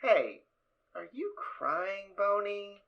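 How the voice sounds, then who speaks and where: Casting: a woman putting on a teasing pony mare, gravelly and sing-song. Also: medium pitch, big pitch swings, extremely slow, dull audio, voice pushed up, exaggerated voice.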